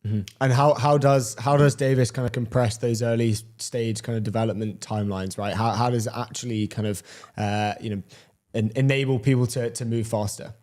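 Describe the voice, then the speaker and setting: A man with an average-pitched voice hosting a casual interview. He works his way into questions in a loose, roundabout way, hedging as he goes. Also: steady tone, brisk, darkish tone. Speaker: interview host.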